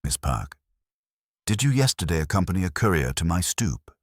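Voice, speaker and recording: A man's voice: low-pitched, very animated, normal speed, husky, no room sound, clean studio sound, voice pushed up, exaggerated voice. A man voicing an imperious, pedantic master detective with pompous, formal and precise speech in an audiobook. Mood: lofty certainty.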